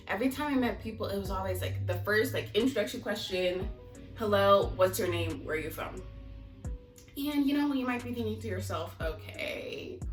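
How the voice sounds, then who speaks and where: A young American woman giving a casual, candid vlog talk to her viewers, chatty and rambling as she goes. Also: medium pitch. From United States (Maryland), teens in Mozambique. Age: young adult. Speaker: vlogger. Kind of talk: casual chat to camera. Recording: echoey room, distant mic, music behind.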